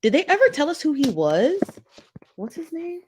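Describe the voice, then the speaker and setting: A woman's voice: high voice, very animated, moderate pace, darkish tone, slight background noise. A woman with a head cold hosting a casual livestream talk show, chatty, saying y'all and laughing often as she reads viewer comments. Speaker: host of a gossip talk show.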